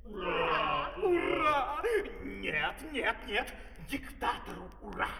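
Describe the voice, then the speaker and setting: Flustered servile doctor, high voice, leaping pitch, comic opera exclamations, distant, noisy echoing recording. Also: male voice, sing-song, slow pace, gravelly, full character voice. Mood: cheering. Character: excitable fussy doctor, servile to a dictator. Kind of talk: comic fairy-tale opera acting. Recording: echoey, distant, noisy crackling old record.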